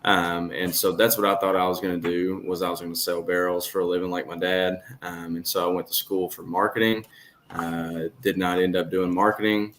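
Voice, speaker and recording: Deep-voiced young man. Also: level tone, fairly quick, a bit bright, slight background noise. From Kentucky. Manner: laid-back, with easy laughs and fillers. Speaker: distiller guest. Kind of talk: casual interview chat.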